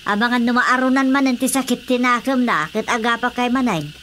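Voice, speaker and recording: A woman's voice: a little high, brisk, a bit bright, slight background noise, lightly acted. An actress with an Ilocano accent playing a nosy, outspoken village woman in a radio comedy drama. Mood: chiding.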